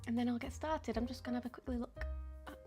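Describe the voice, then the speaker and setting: A woman's voice: fairly high pitch, steady tone, fast, soft background music. A woman, chatting casually and a bit ramblingly while she paints on a live stream. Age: 20s. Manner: chatty and rambling, with frequent ums and soft laughs.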